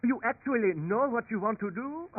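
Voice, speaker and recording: An actor playing a cheerful, sociable young French military cadet with a French accent, in 1930s radio acting style. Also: male voice, very high voice, heavily acted. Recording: tinny, band-limited 1930s radio recording.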